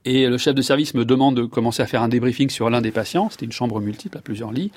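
A man's voice: mid-range voice, fast talker, darkish tone, dry recording. A man in his fifties, a neurologist guest, giving long reflective answers with many fillers and restarts. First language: French.

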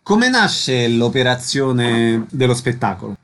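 A man's voice: medium pitch, big pitch swings, slow pace, a bit bright. A man hosting an arts radio programme, presenting events and putting questions to guests. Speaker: radio host.

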